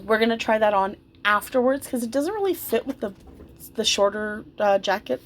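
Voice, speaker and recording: A woman's voice: on the high side, animated, moderate pace, slight background noise. Casual, rambling woman chatting about her hobby. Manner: informal, rambling, effusive about the doll. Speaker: doll hobbyist vlogger. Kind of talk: casual, chatty commentary while dressing a doll.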